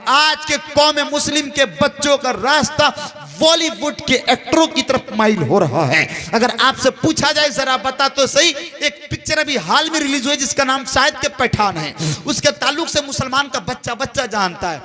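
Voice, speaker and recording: High-pitched, forceful man preaching. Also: moderate pace. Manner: exhorting, emphatic, rhetorical repetition and questions. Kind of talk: impassioned religious sermon.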